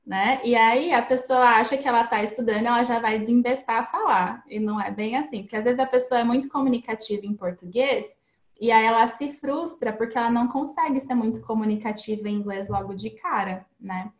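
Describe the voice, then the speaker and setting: A woman with a fairly high voice explains learning tips in a relaxed, chatty way, often checking in with tag questions. Her voice rings in an echoey room. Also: a little fast. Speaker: English teacher guest. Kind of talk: casual interview advice.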